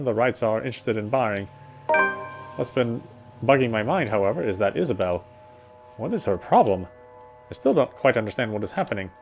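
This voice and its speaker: A man's voice: fairly low pitch, darkish tone. A man reading first-person story narration aloud for a visual novel game. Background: faint music.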